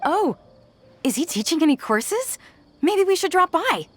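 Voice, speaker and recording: A woman's voice: very high voice, sing-song, a little slow, a little hiss or hum, full character voice. An actress playing a curious, eager female student in a video game, inquisitive in manner. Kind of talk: video game character dialogue.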